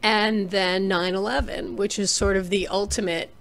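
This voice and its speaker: A woman in her forties from New England, a guest telling her story in a casual interview, animated and reflective, on a desk mic at home over a video call. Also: mid-range voice, normal speed, creaky. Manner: animated, reflective, rises for emphasis. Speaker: interview guest, documentary director. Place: small home room. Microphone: desk microphone on video call.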